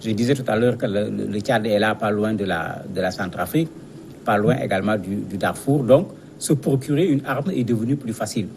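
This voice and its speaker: A man's voice: lowish voice, fairly quick, vocal fry, a little mellow, a little hiss or hum. A man giving explanatory commentary as a guest analyst in a news interview, speaking didactically and repeating words as he searches for phrasing.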